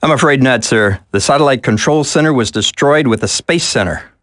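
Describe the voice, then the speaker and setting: Male actor as government aide, game dialogue, average pitch, polite, formal, deferential, dry recording. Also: fairly quick, fairly bright tone, no room sound, lightly acted. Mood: earnest, deferential. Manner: polite, formal, reporting to a superior. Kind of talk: video game voice acting.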